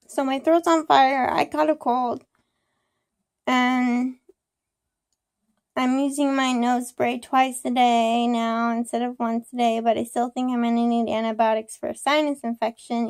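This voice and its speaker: Hoarse young woman, slow rambling vlog. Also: a little high, steady tone, no echo, clean studio sound. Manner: rambling, repetitive, many ums and restarts. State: hoarse from a cold. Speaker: vlogger. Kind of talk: casual personal vlog.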